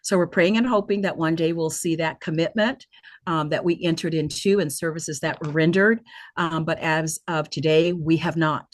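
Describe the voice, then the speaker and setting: A woman hosting an online town hall in a semi-prepared address, speaking earnestly and deliberately with some halting phrasing. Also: sits low, steady tone. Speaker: nonprofit leader hosting a virtual town hall.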